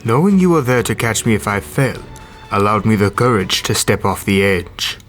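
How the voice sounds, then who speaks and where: A man playing a devoted, composed alien superhero, speaking in a solemn, measured manner. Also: fairly low pitch, lively intonation, fairly bright tone, soft background music. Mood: tender, calm.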